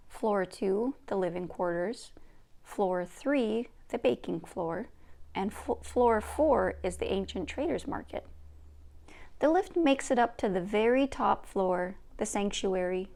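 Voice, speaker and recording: A woman's voice: medium pitch, vocal fry, darkish tone. A woman reading aloud from a children's book in a storybook narration style.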